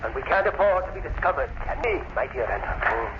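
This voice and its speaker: A man's voice: medium pitch, very animated, a little fast, natural voice. An actor playing a vengeful, theatrical mad professor who taunts his enemy, in a 1930s radio thriller style. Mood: sinister, controlled. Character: vengeful, gloating mad professor, crippled villain. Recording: noisy muffled 1930s radio recording.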